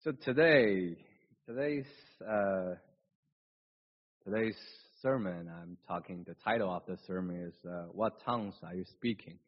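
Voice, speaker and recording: A man with a Chinese accent, whose first language is Chinese, reading scripture and preaching a sermon in a halting way, with restarts and slips. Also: deep voice. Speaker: pastor. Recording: dull lo-fi recording.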